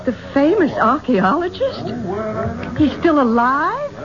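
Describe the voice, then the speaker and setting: Gracious, high-voiced star singer. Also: female voice, very animated, slow pace, a crowd in the background, full character voice. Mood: flustered, eager. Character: gracious, modest female star singer of the 1920s. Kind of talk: 1970s radio drama acting. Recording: muffled, noisy old radio broadcast.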